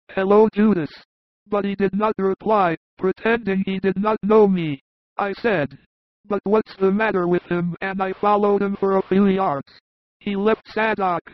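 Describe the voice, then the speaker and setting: A man's voice: sits high, no echo, lo-fi sound, pitched above the performer's own voice. A man voicing an indignant, talkative disciple of Jesus in a dramatised scripture audiobook, with an emphatic, complaining delivery.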